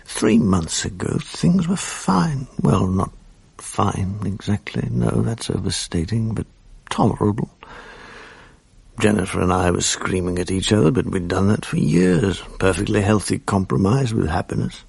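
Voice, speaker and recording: An actor playing a weary, hard-drinking, self-pitying English TV producer in a radio drama, wry, rueful and rambling. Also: male voice, fairly low pitch, expressive, a little slow, vocal fry, darkish tone, husky, no room sound, full character voice. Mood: wry, reminiscing. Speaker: lead actor and narrator. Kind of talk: confessional radio drama monologue.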